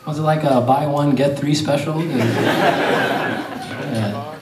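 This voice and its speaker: A man's voice: a little high, fairly even intonation, slow pace, darkish tone. A man from Pakistan doing loose, self-mocking stand-up and crowd work on a theater stage. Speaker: stand-up comedian. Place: theater stage before an audience. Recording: echoey theater, distant mic, crowd noise.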